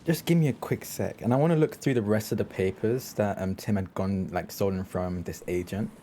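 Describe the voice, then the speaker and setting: A man casually and haltingly describing his character's actions as a player in a tabletop game. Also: fairly low pitch, dark tone, slight background noise.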